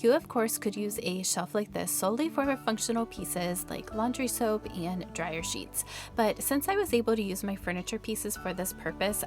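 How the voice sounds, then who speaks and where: A woman who sounds a little raspy from allergies, giving upbeat, friendly, scripted voice-over narration as a home decor vlogger. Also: medium pitch.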